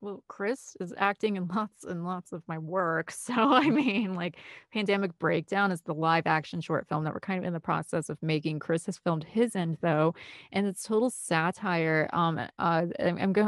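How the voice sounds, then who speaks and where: A woman speaks casually as an interview guest, with a slightly low pitch and very dry, close sound. She is talkative and likes to explain her point as she goes. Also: no echo. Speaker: guest, film composer and director.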